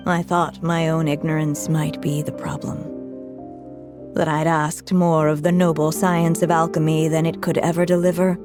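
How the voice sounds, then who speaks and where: A slow, solemn elderly storybook writer. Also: female voice, low voice. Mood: wistful. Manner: measured, formal, reflective. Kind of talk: scripted video game voice acting.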